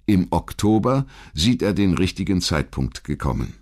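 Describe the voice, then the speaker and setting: Low-pitched man narrating history. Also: very animated, moderate pace, a little mellow. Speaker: documentary narrator. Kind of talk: scripted historical documentary narration.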